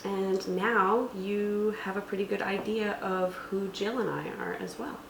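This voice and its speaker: A woman's voice: medium pitch, steady tone, unhurried, creaky. A woman hosting a casual explainer, talking through tabletop game rules. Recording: echoey room, faint background noise.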